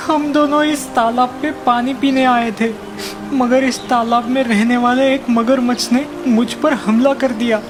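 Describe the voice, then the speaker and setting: A woman voicing a gentle, wise young magical deer in a children's cartoon, earnest and gently chiding. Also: a little high, steady tone, unhurried, music in the background, natural voice. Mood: sorrowful. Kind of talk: Hindi children's cartoon dialogue.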